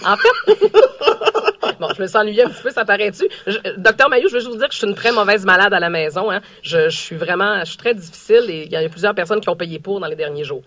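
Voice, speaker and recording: A woman's voice: mid-range voice, fairly bright tone. A woman with a Quebec French accent hosting a radio show, chatting and interviewing in an informal, talkative way. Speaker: radio host. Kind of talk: casual radio chat interview.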